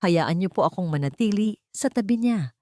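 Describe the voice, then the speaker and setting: A woman's voice: medium pitch, expressive, normal speed, darkish tone, no room sound, clean studio sound, natural voice. A woman voicing a loyal, humble female housemaid who speaks deferentially in an audio drama. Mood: humble, polite. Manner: respectful, deferential.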